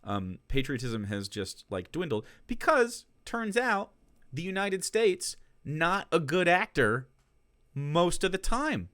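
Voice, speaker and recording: A man's voice: a little high, sing-song, slow pace, a bit bright, no room sound, studio quality. A man who streams political commentary, reacting to clips in a casual, sarcastic and profane way, laughing between remarks.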